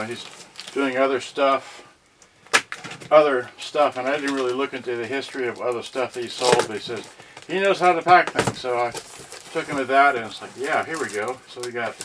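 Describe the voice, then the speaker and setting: A man giving casual, halting unboxing commentary, muttering mildly grumbling asides to viewers. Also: medium pitch. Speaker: unboxing vlogger. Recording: noisy recording with rustling packaging. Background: clicks and crinkling packaging.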